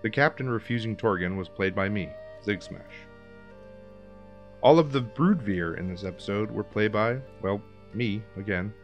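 A man reading scripted podcast credits as the show's producer. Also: on the low side.

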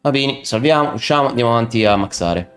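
A man's voice: mid-range voice, animated, fast talker, fairly bright tone. A young man doing casual live game commentary, muttering to himself and chatting with viewers as he plays. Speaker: video game streamer. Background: video game music.

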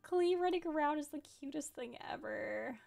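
A woman's voice: high-pitched, expressive, normal speed, gravelly, a little mellow, soft background music. A young adult woman hosting a casual reaction video, speaking into a close desk microphone at home. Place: small room at home.

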